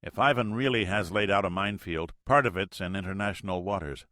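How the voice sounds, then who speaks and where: Man playing a methodical sub exec who reasons aloud; bright, dry voice. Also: low voice, brisk, no echo. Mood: thoughtful. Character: analytical submarine executive officer.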